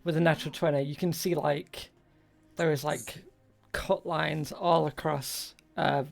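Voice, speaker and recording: Slow, creaky-voiced game master over music. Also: medium pitch, fairly even intonation. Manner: halting, searching for words. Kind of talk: improvised narration of a tabletop role-playing game.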